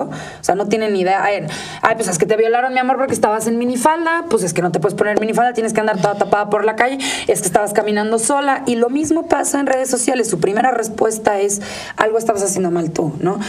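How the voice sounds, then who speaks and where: A woman's voice: mid-range voice, a little mellow, slightly rough, distant mic. A woman with a Mexican accent speaking as an expert guest in an interview, emphatic and outspoken. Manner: emphatic, outspoken, mimics others in quotes. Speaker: interview guest, digital rights advocate.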